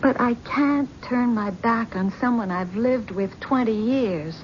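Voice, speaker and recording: A woman's voice: a little high, slight background noise, natural voice. A woman playing an anxious, regretful wife. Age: middle-aged. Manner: pleading, halting, regretful. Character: anxious, loyal middle-aged wife. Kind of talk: 1970s radio drama acting. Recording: dull vintage radio broadcast.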